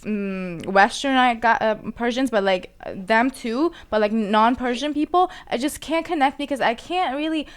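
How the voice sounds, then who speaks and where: Woman, high voice, giggly, filler-heavy casual chat, co-host. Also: normal speed, hardly any pauses, clean tone. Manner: giggly, animated, lots of "like".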